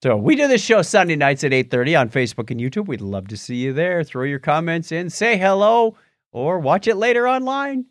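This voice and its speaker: A man's voice: on the high side, sing-song, brisk, dry recording, clean studio sound. A man co-hosting a casual podcast, talking in a jokey, teasing way. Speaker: podcast co-host. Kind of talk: casual podcast chat with patron thank-yous.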